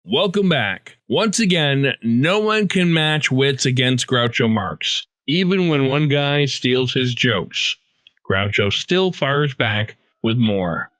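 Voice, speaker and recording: A man's voice: mid-range voice, animated, slow pace, fairly bright tone, no echo. A man hosting a podcast with scripted narration. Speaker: podcast host. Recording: dry, slightly dull close recording.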